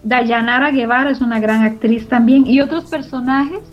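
Chatty woman guest, slightly high voice. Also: normal speed. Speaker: interview guest, radio drama collaborator. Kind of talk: conversational interview. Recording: slightly dull audio over music.

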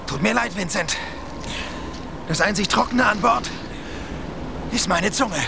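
An actor playing a lively, wry sailor comrade in a polar lifeboat in a radio drama. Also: male voice, sits high, measured pace, vocal fry. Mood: wry teasing. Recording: noisy open boat with lapping water.

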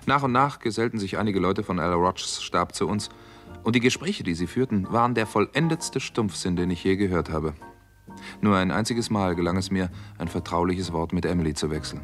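The doctor hero of a radio crime drama, with a very low voice. He speaks tersely and with control, as a sober detective-story narrator would. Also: fairly quick, fairly bright tone, soft background music. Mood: sober narration.

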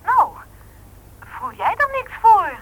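An actress playing a chatty, persuasive secretary in a Dutch radio play, with a roundabout, coaxing manner. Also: female voice, very high voice, sing-song, takes it slowly, fairly bright tone, exaggerated voice, low-quality recording.

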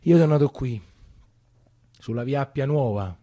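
An actor playing a Roman man recalling his wartime boyhood in an emotional, colloquial, halting theatrical monologue with a Roman accent. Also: medium pitch, animated, warm tone, no room sound, clean studio sound, natural voice. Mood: somber, reflective. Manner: colloquial, halting, repeating himself. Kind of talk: theatrical first-person monologue in dialect.

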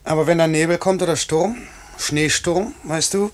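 A man's voice: on the high side, normal speed, close to an everyday voice. A man playing a cheerful, teasing pilot in a 1950s German radio play, speaking in a breezy, colloquial way. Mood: tender, teasing. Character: cheerful, teasing night-mail pilot, tender with his young wife.

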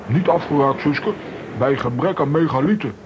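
A man's voice: on the high side, moderate pace, dull audio, background noise, natural voice. An actor playing a bewildered comic grown man with a Flemish accent in a children's audio drama. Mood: resigned.

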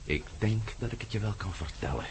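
Man acting bold low-voiced adventurer, noisy recording. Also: expressive, fairly quick, close to an everyday voice. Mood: grim, fascinated. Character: bold, stubborn male adventurer prying into gruesome secrets. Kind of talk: Dutch radio play acting. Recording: noisy old radio drama recording.

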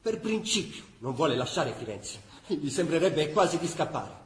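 An actor playing a storyteller who narrates a wartime chronicle in scripted radio theatre. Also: male voice, a little high, big pitch swings, normal speed, roomy sound, a little hiss or hum. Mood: narrating.

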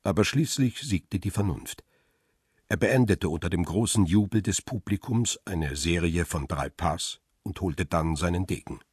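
A man playing a paparazzo who tells his own crime story in a radio drama, husky and measured in a literary way. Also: sits low, darkish tone, no echo, clean studio sound. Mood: grave, absorbed. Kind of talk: radio drama first-person narration and dialogue.

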